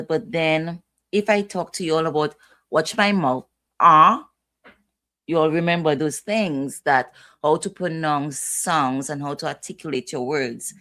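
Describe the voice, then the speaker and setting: A middle-aged woman hosting a casual family chat, talking in a rambling, chatty way with asides. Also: sits low. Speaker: host, mother.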